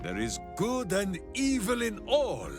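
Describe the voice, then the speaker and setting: A man's voice: high voice, wildly swooping pitch, takes it slowly, full character voice. A man playing a big-hearted, simple warrior ranger in a video game, bombastic and oddly formal. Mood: musing, earnest. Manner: bombastic, refers to himself in third person, oddly formal phrasing. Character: big-hearted, simple heroic ranger warrior who talks through his hamster. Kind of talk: theatrical video game character acting. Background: background music.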